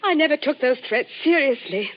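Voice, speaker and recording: A flustered young woman companion, earnest and breathless, her slightly high voice rising and falling with lively inflection. She sounds anxious and eager to explain. The sound is dull and lo-fi like an old radio broadcast. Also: fairly quick. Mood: distressed. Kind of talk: 1940s radio acting.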